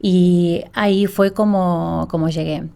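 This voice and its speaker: A woman's voice: on the low side, steady tone, slow pace, vocal fry, dark tone, clear, resonant tone. A woman with an Argentine accent, a guest doctor answering conversationally in an interview.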